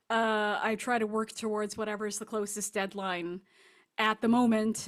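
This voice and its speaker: A woman answers interview questions as a guest author. She talks in a casual, thoughtful conversational style. Her voice has an average pitch for a woman.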